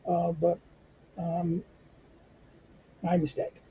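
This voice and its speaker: A hoarse man hosting a lecture channel, rambling through his outro with lots of fillers, restarts and asides. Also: monotone, drawn-out words, mellow, slight background noise, rough recording. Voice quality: squeaky. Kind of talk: informal spoken outro to a reading lecture.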